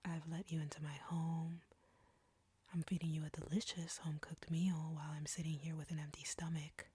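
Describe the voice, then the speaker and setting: Female vampire captor, acted by a woman, audio roleplay: low, close and dry, whispery, slow, smug and flirtatious. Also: flat delivery, mellow, dry recording. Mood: playful menace. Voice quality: breathy, whispery. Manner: slow, taunting, condescending.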